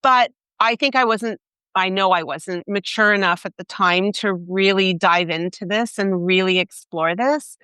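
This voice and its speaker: A woman's voice: medium pitch, measured pace, sharp tone, clean tone, no echo. A middle-aged woman, an author interviewed as a guest, speaking in a thoughtful, conversational way.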